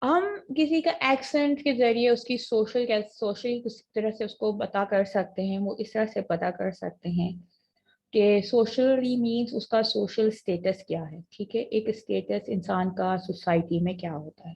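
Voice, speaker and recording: Female teacher, online lecture, gravelly voice, muffled lo-fi audio, reads definitions slowly. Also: mid-range voice, a little mellow. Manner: explains in a mix of Hindi and English, reads slide definitions slowly. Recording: dull lo-fi online class audio.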